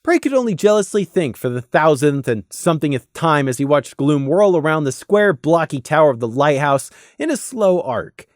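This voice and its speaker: A male storyteller narrates fantasy fiction with animated, wide-moving intonation. The recording is close and dry, and the delivery is clearly scripted. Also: fairly high pitch, normal speed, hardly any pauses, dry recording.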